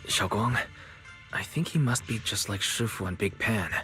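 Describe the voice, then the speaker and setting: An earnest young man reasons out loud in a lowish voice. He sounds like a game companion working through a puzzle. Faint music runs underneath. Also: lively intonation, normal speed. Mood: reasoning. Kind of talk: video game voice acting.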